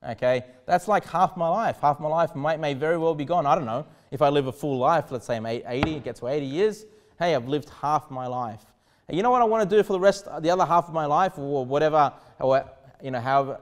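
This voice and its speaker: Brief: male pastor, 30s, slightly higher voice, emphatic preaching from the pulpit, swings between loud bursts and soft asides. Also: a little fast. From Australia. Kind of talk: sermon. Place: small church studio behind a pulpit desk.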